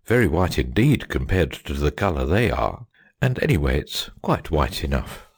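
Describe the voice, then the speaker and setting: A woman voicing a solemn, pompous golden phoenix, a male bird, speaking slowly and precisely in a storybook reading. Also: extremely low pitch, big pitch swings, darkish tone, slightly rough, dry recording, studio quality, voice pushed down, full character voice. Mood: solemn, lofty. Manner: slow, stately, precise.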